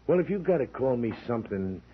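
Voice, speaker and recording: A man playing a smug, calm hired killer who muses condescendingly in an old radio crime drama. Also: mid-range voice, breathy edge. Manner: musing, condescending, unhurried. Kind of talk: 1940s-50s radio crime drama acting. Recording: tinny vintage radio recording.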